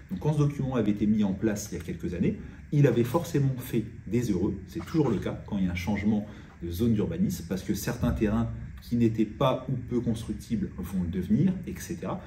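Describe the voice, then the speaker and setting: A man's voice: fairly low pitch, dark tone. A man giving an informal explainer as a real estate agent presenting a video. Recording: roomy echo, distant mic, faint noise.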